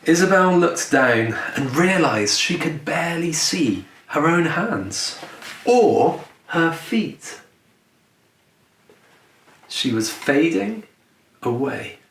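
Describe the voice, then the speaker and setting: Male storyteller for a picture-book read-aloud: unhurried, lively intonation, deliberate pauses, recorded in a roomy space. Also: on the high side. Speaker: children's author reading own book aloud. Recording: roomy echo, distant mic, faint noise.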